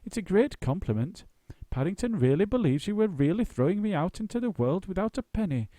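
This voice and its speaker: Brief: man playing a gentle, amused young woman, high voice, dry sound. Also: big pitch swings, a little fast, no echo. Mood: affectionate.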